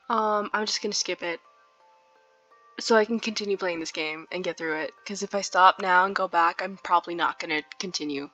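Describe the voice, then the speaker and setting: A woman with a mid-pitched voice hosts a let's play, chatty and informal. Her mic sounds dull and lo-fi, and game music plays clearly behind her. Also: fairly bright tone. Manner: giggly, chatty, informal asides. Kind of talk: casual game commentary with some read-aloud narration.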